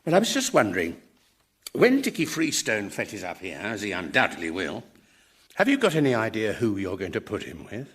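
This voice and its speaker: An indignant, hugely animated professor. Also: male voice, lowish voice, fairly quick, husky, full character voice. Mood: sheepish, sly. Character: earnest, indignant, principled older male professor in hell. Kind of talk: radio sitcom acting.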